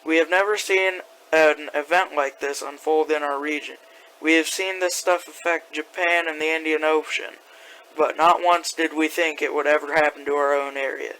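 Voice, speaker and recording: A man playing an earnest, alarmed local radio news announcer, giving an urgent, slightly halting breaking news bulletin. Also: a little high, fairly even intonation, sharp tone, a little hiss or hum, low-quality recording. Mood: grave, urgent.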